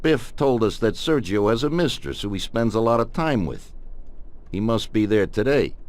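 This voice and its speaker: A low-pitched, older Italian-American mob boss, acted by a man for a video game. He speaks calmly and with command, like someone used to laying out plans and being obeyed. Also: moderate pace, close to an everyday voice. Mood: reassuring, steady. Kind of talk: video game cutscene acting.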